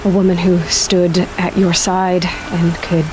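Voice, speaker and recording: A young female huntress from a video game, with a slightly low voice. She is frank, determined and level-headed, and there is clear ambient noise behind her. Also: flat delivery, slow pace. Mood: frank, pointed. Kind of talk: video game dramatic dialogue.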